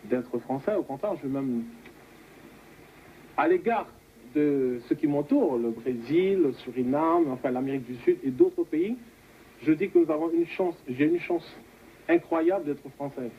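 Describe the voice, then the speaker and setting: A man speaks reflectively in mid-range pitch, often pausing to search for words. The recording is dull and narrow, with a little noise behind him. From French Guiana. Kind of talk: reflective interview answer. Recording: lo-fi muffled band, faint background noise.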